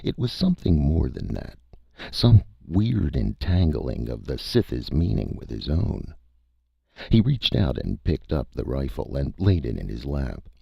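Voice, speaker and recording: A man's voice: extremely low pitch, big pitch swings, a little slow, vocal fry, slightly rough, dry recording, dull audio. A man narrating a scripted story as a dramatic audiobook reader.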